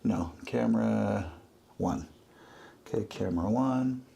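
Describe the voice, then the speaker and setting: A man narrating his work casually as he goes, step by step, in short, halting phrases. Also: fairly low pitch, creaky, dark tone. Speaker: livestreaming audio producer.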